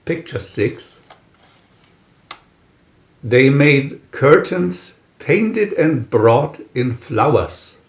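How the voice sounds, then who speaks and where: Guy narrating a fairy tale really slowly, lively pitch, kinda roomy dull recording. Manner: measured, deliberate, pausing between captions. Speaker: storybook reader. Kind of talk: scripted storybook narration, reading picture-reel captions.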